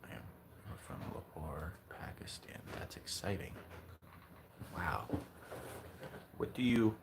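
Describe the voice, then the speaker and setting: A man's voice: extremely low pitch, vocal fry. An American man of twenty-nine from California, chatting casually as a streamer with a viewer and asking friendly, curious questions. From California, USA. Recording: steady background noise.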